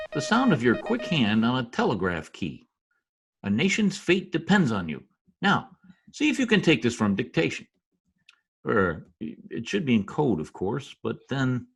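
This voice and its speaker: Give me a scheming, self-important diplomat from a small dictatorship played by a man. His medium-pitched voice swoops extravagantly in old radio-play fashion, with music underneath. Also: creaky, slightly rough, full character voice. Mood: suave, conspiratorial. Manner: pompous, theatrical, swooping. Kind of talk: old-time radio drama acting.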